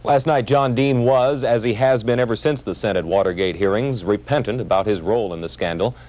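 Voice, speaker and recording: Mid-pitched American male news reporter. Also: darkish tone.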